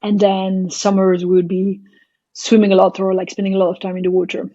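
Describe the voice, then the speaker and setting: A woman's voice: medium pitch, little pitch movement, brisk, mellow, dry recording, muffled recording. A woman from Belgium, a startup founder, talking as a podcast guest, thoughtful and hesitant, with many fillers. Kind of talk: casual interview chat.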